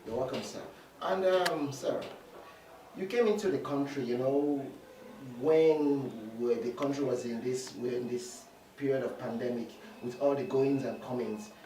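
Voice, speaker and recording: A man's voice: mid-range voice, unhurried, dark tone. A man hosting an interview, greeting viewers and asking questions in a formal, courteous way with frequent fillers. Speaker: interviewer host. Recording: distant roomy mic with faint noise.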